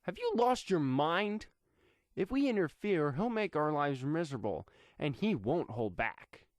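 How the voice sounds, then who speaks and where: Man acting hot-tempered, agitated brother, pitched up. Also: sing-song, a little slow, no room sound, studio quality. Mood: exasperated, scornful. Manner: agitated, scornful, loud outbursts. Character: hot-tempered, tense brother of a young vigilante.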